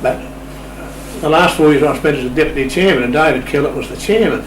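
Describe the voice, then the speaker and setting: A man's voice: a little high, fairly quick. Roomy, distant older Australian man, wryly anecdotal. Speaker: interview guest, sailing official. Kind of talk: conversational interview, anecdotal. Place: indoor room, radio shack. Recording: roomy, distant mic, steady hum.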